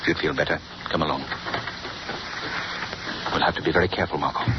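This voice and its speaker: An actor playing a calm, determined male hero detective in a 1930s radio drama, steady and commanding, on a muffled broadcast with loud hiss. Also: rumbling low, fast talker.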